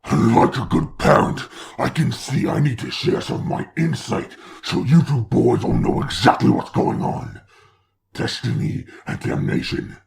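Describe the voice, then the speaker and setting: A man voicing an imperious male demon lord of hell in a fan comic dub, raspy and menacing in slow speeches. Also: lively intonation, darkish tone. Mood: commanding. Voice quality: deep, raspy.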